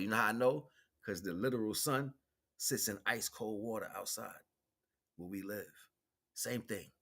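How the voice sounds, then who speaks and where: Male spiritual teacher, fairly low register, emphatic and colloquial, unscripted lecture with repeated key phrases. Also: fairly bright tone, slightly rough. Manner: colloquial, checks in with rhetorical questions. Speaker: spiritual teacher, livestream host. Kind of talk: improvised spiritual lecture, streamed.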